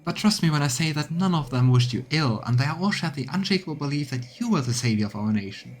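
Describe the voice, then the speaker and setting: A man's voice: on the high side, animated, quick pace, a little hiss or hum, pitched above the performer's own voice, close to an everyday voice. A man voicing a haughty noblewoman courtier turned deferential, reading video game dialogue in a formal, courtly manner. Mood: deferential, apologetic.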